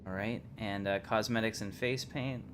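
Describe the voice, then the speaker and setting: A man hosting a livestream, casually thinking aloud as he plays, in a halting, unhurried, musing way. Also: fairly low pitch, fairly even intonation, noisy background. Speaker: livestream host. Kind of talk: casual live game commentary, thinking aloud.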